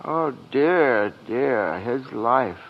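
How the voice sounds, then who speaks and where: An actor playing a centuries-old apprentice angel, meek, eager and dithering, with hesitant, deferential manners in vintage radio drama style. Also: male voice, medium pitch, animated, very slow, lo-fi sound, heavily acted.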